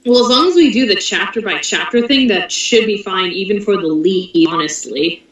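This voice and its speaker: Woman, mid-pitched, casual organizer talk, roomy echo, far from the mic. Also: moderate pace, a bit bright. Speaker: organizer in an online cast meeting. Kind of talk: casual discussion. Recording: distant echoey voice call.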